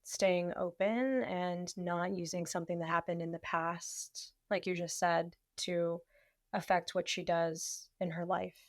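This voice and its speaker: A woman guest on a podcast, talking in a relaxed, agreeable and thoughtful way. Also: fairly low pitch, a little slow, no echo, clean studio sound. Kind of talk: casual chat.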